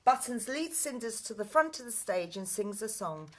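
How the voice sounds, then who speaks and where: A woman's voice: a little high, animated, moderate pace. A woman narrating scripted stage directions for a play.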